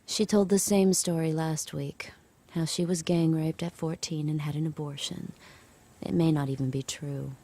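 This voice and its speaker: An actress playing a wary, observant captive woman in an audio drama, speaking in a guarded, breathy, understated way. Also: sits low, fairly even intonation, moderate pace, mellow. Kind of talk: audio drama narration and dialogue.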